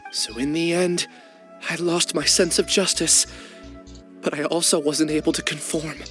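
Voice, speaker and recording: An actor playing a remorseful young man in a game, speaking softly and hesitantly with an apologetic tone. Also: fairly high pitch, fairly even intonation, normal speed, close to an everyday voice. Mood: regretful. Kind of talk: game voice acting. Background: soft game music.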